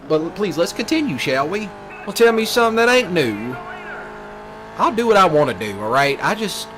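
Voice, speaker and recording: A man with a Southern US accent, a streamer rambling casually in a folksy, brash way with rural slang, often repeating himself. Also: on the high side. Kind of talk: casual rambling rant over game play. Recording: noisy, with steady vehicle sounds behind.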